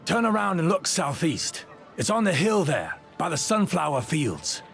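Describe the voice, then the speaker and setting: Creaky, animated townsman, music behind. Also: a little high, normal speed, heavily acted. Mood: helpful. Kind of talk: video game voice acting. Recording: prominent game music behind.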